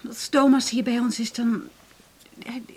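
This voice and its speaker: An actress playing a young woman in a naturalistic radio drama, soft-spoken and halting. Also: a little high, steady tone. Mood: hesitant.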